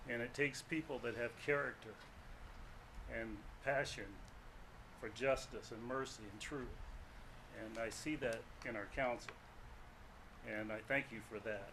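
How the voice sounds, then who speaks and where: A man's voice: mid-range voice, fairly bright tone, a little hiss or hum, poor audio. An American man giving an earnest, emphatic impromptu speech at a council meeting, repeating phrases for weight. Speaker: guest speaker at council meeting. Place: city council meeting room.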